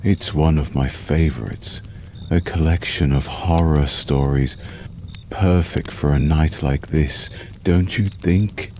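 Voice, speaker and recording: Guy doing a possessive vampire boyfriend for asmr, super deep and unhurried, tender but menacing. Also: dark tone, a little hiss or hum. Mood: soothing, tender. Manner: slow, soothing, menacingly tender, lingering pauses. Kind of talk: ASMR roleplay, intimate storytelling.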